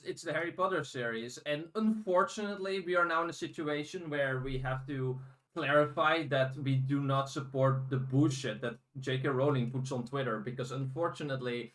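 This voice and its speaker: A man's voice: mid-range voice, a bit bright. A man hosting a podcast in a casual, informal and blunt chatting style. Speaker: podcast host.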